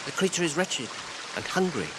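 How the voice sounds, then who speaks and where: A troubled British wanderer, earnest and guarded, with very expressive intonation. The radio drama plays over hiss and rushing water. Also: male voice, on the high side. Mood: guarded, sorrowful. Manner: hesitant, careful, earnest. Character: earnest, troubled small male traveller, guarded yet compassionate. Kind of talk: BBC radio drama acting. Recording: hissy radio recording, loud falling water.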